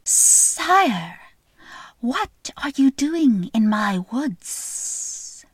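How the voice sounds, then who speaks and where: Woman voicing a small wise snake, sly, hushed, very slow, dry close sound. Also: a little high, very animated, slightly rough, no echo, pitched above the performer's own voice, heavily acted. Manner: slow, drawn-out, hushed and cryptic.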